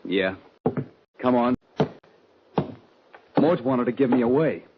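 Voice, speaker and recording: An actor playing an earnest, desperate young cowboy on the run in an old-time radio western. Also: male voice, mid-range voice, animated, slow, noisy background. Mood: tense, wary. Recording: dull old radio recording.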